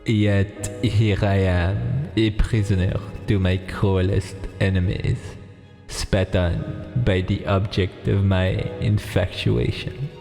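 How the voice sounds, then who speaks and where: A man reading a visual novel aloud for a let's play, slow and dramatic, stopping to joke with a friend. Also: lowish voice, fairly even intonation, creaky, dark tone, in a large hall, faint music behind. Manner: reads slowly with pauses, breaks off to joke and laugh.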